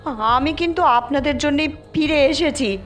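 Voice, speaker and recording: A woman plays an anxious young mother in an audio drama. Her high voice is agitated and pleading, full of desperation. Faint music sits behind it. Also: normal speed, lightly acted. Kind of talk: Bengali audio story acting.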